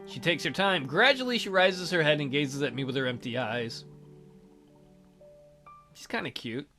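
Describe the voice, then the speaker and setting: A man's voice: a little high, normal speed, bright, forceful tone, faint music behind. A man reading a visual novel aloud theatrically as a let's play host, with casual, jokey asides.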